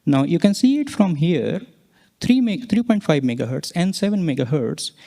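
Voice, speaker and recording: Higher-pitched man giving a science talk. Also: sing-song, moderate pace, gravelly, mellow. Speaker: conference presenter, researcher. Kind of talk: scientific presentation explaining charts.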